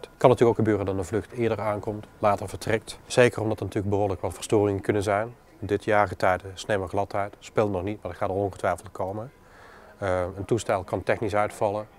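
A man's voice: lowish voice, little pitch movement, fairly quick, faint music behind. A man in his forties, an airport gate planner explaining his work in a matter-of-fact way. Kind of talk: documentary interview and work talk. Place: glass-walled airport control tower office.

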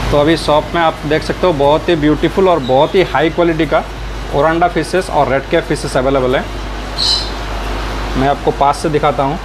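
A man's voice: on the high side, background noise. A man, casually narrating a walk through an aquarium and pet shop, chatting to viewers as he points out stock and prices. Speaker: aquarium hobbyist vlogger.

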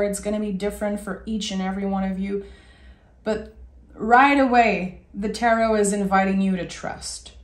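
A woman with a raspy voice giving a gentle, soothing tarot reading. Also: medium pitch, roomy sound. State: raspy voice today. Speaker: tarot reader.

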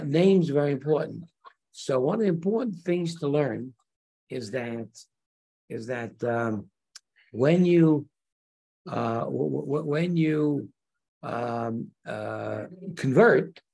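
Gravelly older man teaching Torah online. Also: mid-range voice, darkish tone. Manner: unhurried, halting with many fillers and restarts. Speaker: rabbi teaching converts. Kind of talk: casual online Torah class. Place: indoor room by large windows. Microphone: video call.

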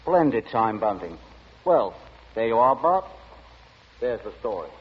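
An actor playing a droll, stubborn, deadpan director in 1940s radio acting style. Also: male voice, lowish voice, unhurried, husky, heavily acted. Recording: muffled, reverberant 1940 radio recording.

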